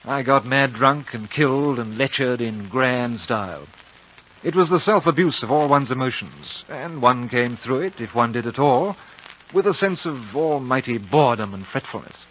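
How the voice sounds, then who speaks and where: Actor as bright-voiced, world-weary gentleman veteran. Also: medium pitch, expressive, moderate pace, natural voice. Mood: weary, reflective. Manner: polite, reflective, earnest. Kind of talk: 1950s radio drama acting and narration. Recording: dull, noisy old radio recording.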